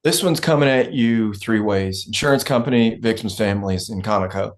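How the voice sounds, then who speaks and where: A man acting a dry, weary oil company fixer in a script read, terse and deadpan. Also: lowish voice, a little fast, close to an everyday voice. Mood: dry, unruffled. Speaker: actor.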